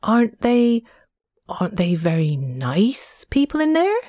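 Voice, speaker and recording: A woman's voice: fairly high pitch, big pitch swings, slow, darkish tone, breathy edge, no room sound, clean studio sound, exaggerated voice. A woman voicing a shy, wide-eyed young male mole who asks nervous, wondering questions in a storybook reading.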